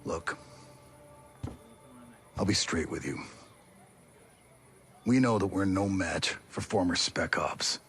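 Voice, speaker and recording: An actor playing a wary, blunt leader of island homesteaders in a video game cutscene, with a gravelly edge. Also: male voice, low-pitched, slow, pauses often, a bit bright, heavily acted. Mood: frank. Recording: faint background noise.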